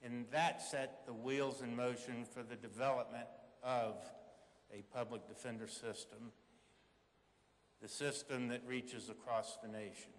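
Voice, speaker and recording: A man's voice: medium pitch, flat delivery, a little slow. A man reading scripted narration to an audience as part of a staged re-enactment. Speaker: reader in a readers theater re-enactment. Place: large hall before an audience. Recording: echoey hall with long reverb.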